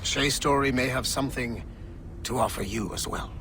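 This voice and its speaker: An actor playing a stern, protective mercenary father in a video game, measured and guarded in the way he talks. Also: mid-range voice, noisy background, close to an everyday voice. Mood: solemn, calculating. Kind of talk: dramatic video game acting.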